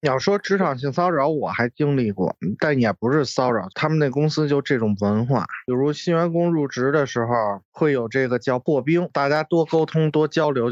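A man's voice: mid-range voice, no echo, dull audio. A man chats casually as a podcast guest, telling stories in a blunt, sweary, mocking way. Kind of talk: casual chat.

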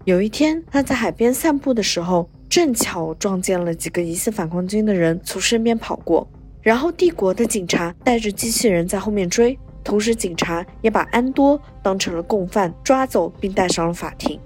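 Woman, medium pitch, podcast narrator, scripted storytelling recap, light music underneath. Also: lively intonation, normal speed, darkish tone.

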